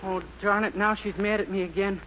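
An actor playing a naive, earnest, boyish young lodger in a 1940s radio sitcom. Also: male voice, sits high, steady tone, a little fast, lightly acted. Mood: sheepish. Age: young adult. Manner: earnest, innocent, sheepish. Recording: muffled, very noisy old radio recording.

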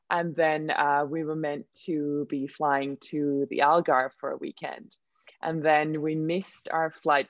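A woman's voice: deep voice, no echo, highs cut off. A young woman from Finland whose first language is Swedish, a model chatting as an interview guest and telling stories with lots of "like" and "um". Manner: chatty, storytelling, frequent "like" and "um". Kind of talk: casual interview chat.

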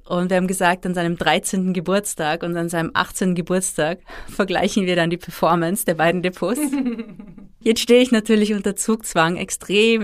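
A woman, calmly explaining retirement savings as an interview guest. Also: lowish voice, dry recording, clean studio sound. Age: 30s. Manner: explanatory, methodical. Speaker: finance expert guest. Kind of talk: interview answers.

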